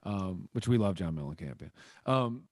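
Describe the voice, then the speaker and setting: A man in his thirties, a musician from Michigan, telling stories in a casual interview, rambling and animated, speaking into a studio mic at home. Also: low voice, moderate pace, dry recording. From Michigan, USA. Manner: animated, rambling, lots of "like" fillers and gestures. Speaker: guest musician. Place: home room, indoors. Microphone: studio mic on boom arm, video call.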